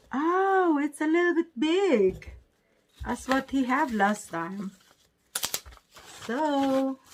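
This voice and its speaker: A woman's voice: mid-range voice, slight background noise. A woman with a Filipino accent chatting casually in non-native English as she presents an unboxing vlog. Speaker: vlogger.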